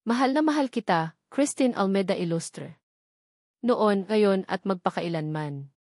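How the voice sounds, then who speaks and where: Woman reads devoted adult husband; soft, earnest, dry close read. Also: medium pitch, lively intonation, a little slow, dry recording. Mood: tender. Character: tender devoted grown husband.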